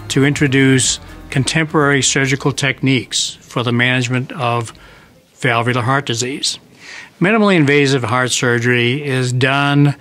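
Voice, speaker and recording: A man with an average-pitched voice speaks as a surgeon in an interview. He explains things formally and precisely, in clinical phrasing. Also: a bit bright. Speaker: heart surgeon. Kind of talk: explanatory interview remarks for a hospital newsreel.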